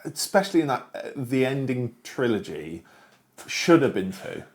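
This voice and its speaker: A man's voice: medium pitch, sing-song, normal speed. A man in a casual, informal discussion, talking in a slightly hesitant, hedging way. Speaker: discussion show panelist. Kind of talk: casual chat, book discussion.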